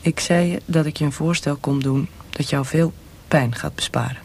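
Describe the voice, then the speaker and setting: An actor playing a cold, insistent military interrogator in a radio play, with a hushed, breathy, measured voice. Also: male voice, a little high, a little hiss or hum. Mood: persuasive. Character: cold, pressuring military interrogator offering a mercy death.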